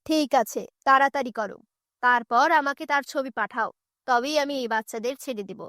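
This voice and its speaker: A woman's voice: high voice, normal speed, a bit bright, no echo, clean studio sound. A woman voicing a greedy, bullying male kidnapper in a cartoon tale, with a threatening, commanding manner. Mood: impatient.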